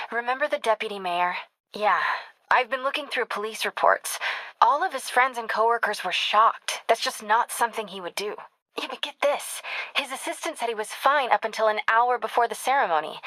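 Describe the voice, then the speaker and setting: An actress with an American accent playing a brisk, teasing young newspaper reporter in a video game. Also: female voice, mid-range voice, sharp tone, no room sound, close to an everyday voice, poor audio. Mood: earnest, focused. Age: young adult. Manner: casual, quick-witted phone chatter.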